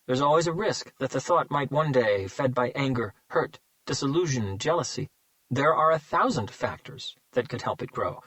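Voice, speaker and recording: A man's voice: a little high, fairly bright tone, no echo, voice pushed up, poor audio. A man voicing a smug male serial killer who mocks and savors his words, in an audiobook reading. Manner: mocking, slow and savoring.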